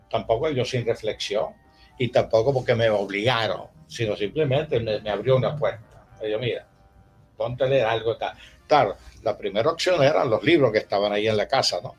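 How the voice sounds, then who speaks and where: A man's voice: medium pitch, moderate pace, husky, faint music behind. An older man from Venezuela reminiscing conversationally in a discursive, anecdotal way. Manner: discursive, anecdotal, often tagging phrases with a questioning check. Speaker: interviewed historian and former university rector. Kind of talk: conversational reminiscence at a public talk.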